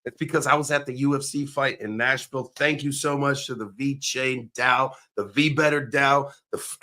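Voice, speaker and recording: A man hosting a casual crypto livestream. His voice is raspy and hoarse, and he talks in upbeat hype with lots of filler words. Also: medium pitch, pushed and bright. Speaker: livestream host.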